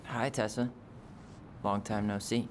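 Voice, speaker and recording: A man's voice: lowish voice, level tone, a little slow, slight background noise, lightly acted. An actor playing a guarded, wry young man in a naturalistic video game performance. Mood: earnest. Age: young adult.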